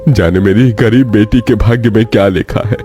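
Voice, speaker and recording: A man's voice: on the low side, normal speed, warm tone, soft background music, lightly acted. Plaintive poor farmer father, acted by a man. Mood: sorrowful, anxious. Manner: plaintive, pleading. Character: poor, worried, sorrowful older farmer father. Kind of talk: Hindi cartoon story acting.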